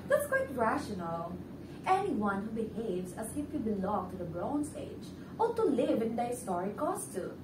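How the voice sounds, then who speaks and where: A woman acting a haughty, high-pitched killer. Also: big pitch swings, normal speed, background noise. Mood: disdainful. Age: young adult. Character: proud, defensive killer arguing coolly and precisely. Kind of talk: theatrical one-act stage play acting. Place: furnished indoor stage set, distant camera. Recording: echoey room, distant mic. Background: music.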